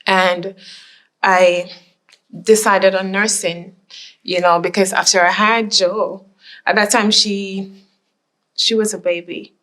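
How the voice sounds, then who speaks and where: A woman's voice: mid-range voice, level tone, slow, long pauses, pushed and bright. A woman from Jamaica, speaking earnestly and reflectively in a documentary interview. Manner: reflective, earnest, repeats herself. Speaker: interviewee, immigrant mother.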